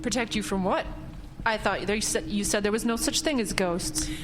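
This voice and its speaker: A woman's voice: medium pitch, moderate pace, long reverb tail, background noise, close to an everyday voice. A woman acting in a radio drama as a polite, easily spooked house seller, hesitant and nervous in manner. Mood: uneasy, spooked.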